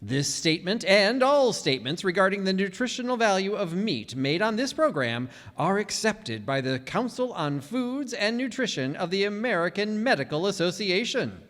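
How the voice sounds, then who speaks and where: An actor playing an earnest, polished 1940s radio announcer, declaiming persuasively to the listeners. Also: male voice, high-pitched, very animated, normal speed, few pauses, a bit bright. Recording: reverberant hall stage recording.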